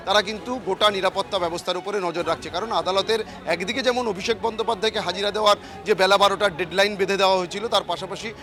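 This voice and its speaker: A male field reporter speaks quickly in a high voice. He has a West Bengal accent and gives a live outdoor news report. His explanation runs on in long, repetitive sentences. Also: fairly even intonation, sharp tone. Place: outdoors in a city, outside a courthouse.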